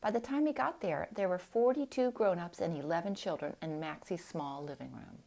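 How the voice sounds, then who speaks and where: A woman reading a storybook aloud in an expressive style, with deliberate, dramatic phrasing. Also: lowish voice, creaky. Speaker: storybook reader.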